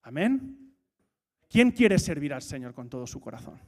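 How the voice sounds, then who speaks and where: A man's voice: on the high side, very animated, moderate pace, vocal fry. A man preaching a sermon in church. He asks rhetorical questions, repeats his key points and jokes now and then.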